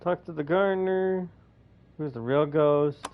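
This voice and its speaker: A man's voice: a little high, a little slow, phone-quality sound. A man giving casual commentary as he plays a video game.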